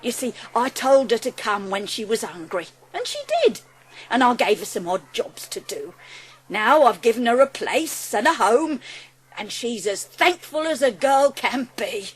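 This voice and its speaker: Woman acting a humble, lively shopkeeper. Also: fairly high pitch, a little slow, slightly rough. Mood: kindly, deferential. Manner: deferential, homely, chatty. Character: good-natured, humble working-class baker's shop woman. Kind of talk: dramatised audiobook acting.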